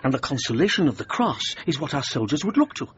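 An actor in a radio drama playing a conservative, opinionated middle-aged architect with a blustering, formal manner. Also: male voice, sing-song, fast, close to an everyday voice. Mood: indignant. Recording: muffled radio recording with rumbling noise.

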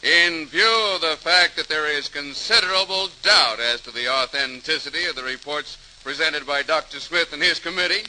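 Sharp-voiced, pompous legislator. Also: male voice, a little high, normal speed, few pauses, slight background noise, poor audio. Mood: officious. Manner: formal, official. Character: formal, pompous legislator opposing a bill. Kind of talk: 1940s radio acting.

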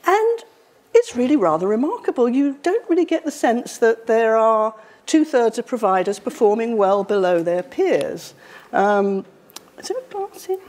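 A woman, giving a university public lecture in a conversational, wry way, her voice slightly croaky. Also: on the high side, big pitch swings, normal speed, darkish tone. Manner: conversational, wry, with frequent fillers. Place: university lecture hall, indoors.

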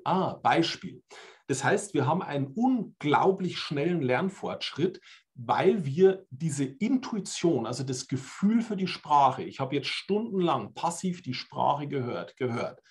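A German man in his forties giving an explanatory online presentation on language learning, often adding "ne?" to check in with listeners. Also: fairly high pitch. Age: 40s. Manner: explanatory, uses tag questions like "ne?". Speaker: language trainer. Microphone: webcam on video call.

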